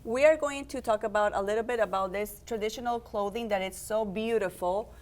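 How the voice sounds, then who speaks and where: A young woman hosts a community TV segment in a friendly, upbeat way. She steers the talk with easy questions and introduces each guest. Her pitch sits in an ordinary middle range. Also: a little fast, a little mellow. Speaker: host and program organizer. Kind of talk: community TV interview.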